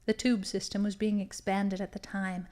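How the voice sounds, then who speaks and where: A woman's voice: medium pitch, flat delivery, moderate pace, lightly acted. A woman playing a scheming, smugly menacing female villain in an audio drama, with theatrical, dramatic delivery. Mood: gloating, menacing. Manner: theatrical, gloating storytelling with hushed dramatic emphasis.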